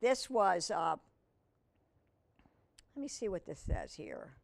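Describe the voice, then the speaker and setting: A woman's voice: lowish voice, sing-song, slow, gravelly. A woman hosting a children's storytime, reading a picture book aloud expressively and adding chatty asides and questions to her young viewers.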